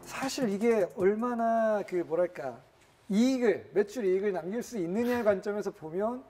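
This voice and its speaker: A man hosting a conversational interview, expressive and wordy as he thinks his questions through aloud. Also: high voice, moderate pace, faint music behind. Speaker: host interviewer and car reviewer.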